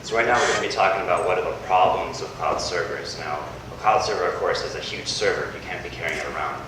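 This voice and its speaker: A young man with a monotone delivery, giving a startup pitch in a university hall. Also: quick pace. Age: young adult. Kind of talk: pitch presentation. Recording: echoey hall, distant mic, noisy.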